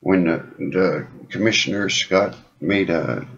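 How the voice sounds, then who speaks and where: Deep-voiced man calling in a complaint. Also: takes it slowly, creaky, a bit bright. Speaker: caller making a complaint. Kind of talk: phone call, informal advocacy talk. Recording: dull lo-fi phone call recording.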